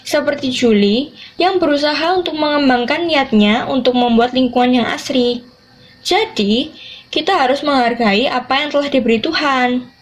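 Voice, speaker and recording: A woman's voice: high-pitched, moderate pace, a little hiss or hum, low-quality recording. A teenage young woman, giving scripted educational narration as a student podcast presenter. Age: teens, high school student.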